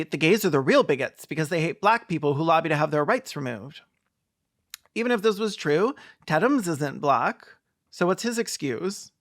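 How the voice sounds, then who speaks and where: A mid-pitched commentator picks a film apart with open sarcasm. The delivery is campy and theatrical, built on mockery and comic exaggeration. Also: fairly bright tone. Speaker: video commentator.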